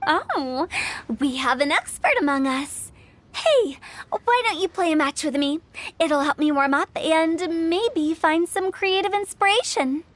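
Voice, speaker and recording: A woman's voice: very high-pitched, animated, measured pace, a bit bright, full character voice. An actress playing a playful, eccentric young woman with a theatrical, cheeky manner in a video game. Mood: teasing, eager. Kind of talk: video game character acting.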